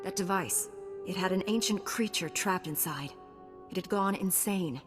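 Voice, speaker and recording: A resolute space knight played by a woman speaks calmly and with compassion. Her game-dialogue voice is fairly low, over faint music. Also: normal speed, natural voice. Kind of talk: video game voice acting.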